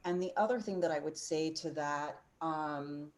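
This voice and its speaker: A woman's voice: low-pitched, unhurried. A woman in her forties, an opera singer and teacher, speaking passionately and earnestly on a panel over a video call. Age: 40s. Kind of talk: panel discussion.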